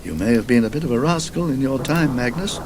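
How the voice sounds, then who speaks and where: A man voicing a haunted English gentleman traveller in an audiobook ghost story, with an RP accent and a halting, emphatic delivery. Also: mid-range voice, animated, background noise, close to an everyday voice. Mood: musing aloud. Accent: British Received Pronunciation. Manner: halting, emphatic, fearful confession.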